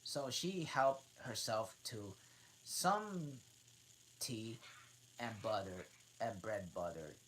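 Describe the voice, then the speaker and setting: A woman's voice: rumbling low, lively intonation, slow pace, long pauses, a little hiss or hum, rough recording. A woman reading a storybook aloud, stumbling and restarting words. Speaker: channel host, reader.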